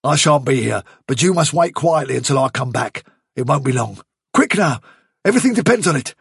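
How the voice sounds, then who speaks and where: Man as a terse, husky fighter rabbit. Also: mid-range voice, lively intonation, brisk, fairly bright tone, no echo, studio quality, exaggerated voice. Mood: urgent, decisive. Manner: terse, urgent. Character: tough, decisive male rabbit fighter.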